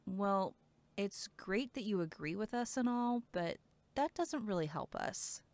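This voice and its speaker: A woman's voice: medium pitch, animated, a little slow, dry recording. Woman playing a fiery, sarcastic young camp counselor. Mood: exasperated, sarcastic. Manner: sarcastic, sharp-tongued, profane. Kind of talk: audio drama acting.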